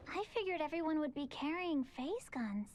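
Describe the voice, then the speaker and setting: High-voiced, earnest young attendant. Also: female voice, lively intonation, normal speed, darkish tone. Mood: earnest, puzzled. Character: earnest, informal young female royal attendant. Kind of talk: video game cutscene acting.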